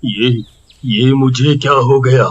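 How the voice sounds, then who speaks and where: A man voicing the vengeful ghost of a murdered village husband in a cartoon, emphatic and deliberate. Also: medium pitch, fairly even intonation, breathy edge, dull audio. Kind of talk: Hindi cartoon voice acting.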